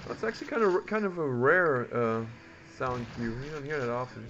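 Animated man commentating on a game, muffled mic. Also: mid-range voice, moderate pace. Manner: reacts aloud, muttering to himself. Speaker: gamer. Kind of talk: casual gameplay commentary. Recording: muffled lo-fi mic, background noise.

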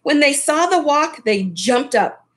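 A middle-aged woman reading a picture book aloud expressively, in an unhurried way, with casual asides to young listeners. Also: sits high, lively intonation. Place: small furnished room at home, indoors. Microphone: webcam at desk distance.

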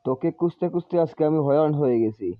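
A man's voice: fairly high pitch, quick pace, dry recording, phone-quality sound, close to an everyday voice. A man voicing a grumbling, demanding village cowherd husband in a cartoon. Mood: exasperated. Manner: complaining, demanding. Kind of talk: cartoon voice acting.

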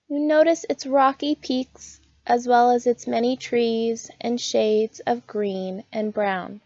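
A woman narrating a scripted guided meditation in a soothing, gentle, unhurried manner. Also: fairly high pitch, dry recording, lo-fi sound.

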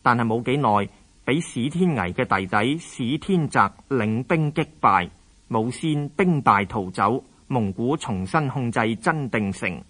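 A male narrator reads a scripted historical account. He has a Hong Kong Cantonese accent and a voice of medium pitch. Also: lively intonation, measured pace, a bit bright, slightly rough.

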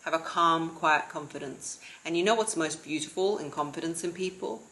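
A woman in her thirties gives relaxed advice from a sofa at home, her voice low and settled. She holds a calm, steady, near-monotone delivery, talking to a camera set a little way off. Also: normal speed, low-quality recording. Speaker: vlogger and confidence coach. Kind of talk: casual advice vlog to camera. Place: living room, seated on a sofa.

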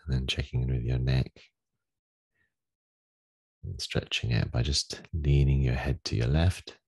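Very deep, gravelly male meditation guide. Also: steady tone. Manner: gentle, unhurried instructions with long pauses. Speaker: meditation teacher leading a daily group session.

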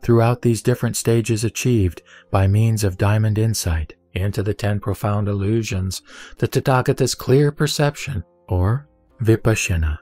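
A man reads Buddhist scripture as a scripted recitation, in measured, deliberate short phrases. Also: lowish voice, warm tone, no room sound. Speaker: sutra reciter. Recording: music bed behind voice. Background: background music.

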